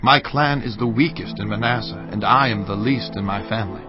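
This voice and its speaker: An actor playing a humble, anxious Israelite farmer, earnest and pleading, in a dramatized scripture production. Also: male voice, on the low side, fairly quick, close to an everyday voice. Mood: doubtful, pleading. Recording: muffled audio with faint music.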